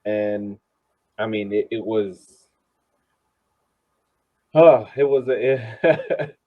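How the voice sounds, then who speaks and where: A man chatting casually as co-host of a soccer fan podcast over a narrow phone-like connection, halting and repeating words as he thinks aloud. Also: fairly low pitch.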